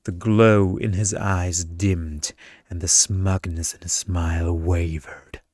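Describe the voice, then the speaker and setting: Deep, slow male ASMR storyteller. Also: flat delivery, a little mellow, no room sound, studio quality. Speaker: story narrator. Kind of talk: scripted ASMR story narration.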